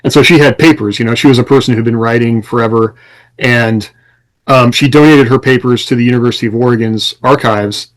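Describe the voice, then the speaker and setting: A man's voice: medium pitch, fairly even intonation, a little fast, poor audio. American man in casual podcast talk. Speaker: podcast host and writer.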